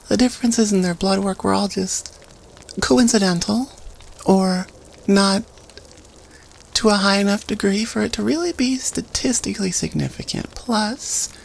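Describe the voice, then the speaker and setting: A woman playing a student who tells an eerie campfire story in a halting, conversational way, in an audio roleplay. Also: mid-range voice, lively intonation, gravelly, slight background noise, rough recording. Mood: eerie, measured. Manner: halting, conversational, thoughtful phrasing.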